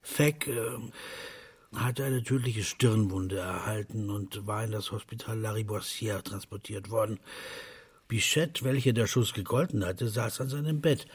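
A man's voice: on the low side, unhurried, slightly rough. A man reading scripted literary narration in a radio play.

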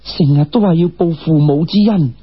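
Man voicing a raspy, wise female immortal mentor. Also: on the high side, moderate pace, lo-fi sound. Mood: reproachful. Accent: Cantonese.

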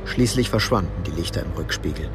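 A man narrating a radio drama from a script in a measured, sober manner. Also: deep voice, lively intonation, music in the background.

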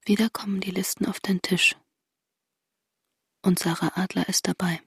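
An actress playing the haunted inner voice of a stunned woman in a radio drama, half-whispering in halting, sparse words. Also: mid-range voice, little pitch movement, creaky, a bit bright, slightly rough, no echo, clean studio sound, exaggerated voice. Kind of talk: intimate literary radio drama monologue.

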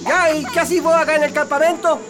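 A man's voice: very high-pitched, level tone, fast talker. A Chilean man playing a harsh, bullying military officer in a radio drama, barking curt and impatient orders. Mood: menacing. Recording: radio drama with music behind.

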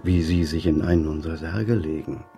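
An actor playing a formal, measured and stiffly polite undertaker's salesman in a radio play. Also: rumbling low, fairly even intonation, warm tone, faint music behind. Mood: stiffly disapproving. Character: formal, stiffly polite male coffin salesman, easily shocked. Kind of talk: radio drama acting.

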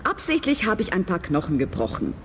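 An actress playing a tough young community nurse in a radio play, blunt and matter-of-fact. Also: female voice, on the low side, wildly swooping pitch, a little fast. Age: young adult. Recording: faint background noise.

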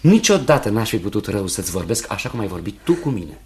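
An actor playing a kindly, nervous young fiancé in a Romanian radio play, earnest and hesitant, often repeating himself. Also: male voice, on the low side, sing-song. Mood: earnest, affectionate. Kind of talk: Romanian radio theatre acting.

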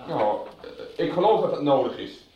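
Authoritative school head, formal, disapproving, rather high lively voice, radio soap. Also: male voice, normal speed, far from the mic, a little hiss or hum. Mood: brisk, authoritative. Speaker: actor. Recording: echoey, dull room, off-mic.

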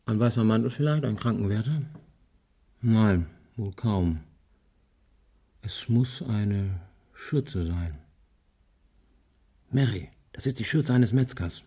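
An actor playing a wry, analytical husband in a radio crime drama, reasoning aloud in a deliberate, musing way. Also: male voice, fairly low pitch, dark tone, husky, heavily acted. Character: wry analytical husband puzzling out a child's grisly drawings.

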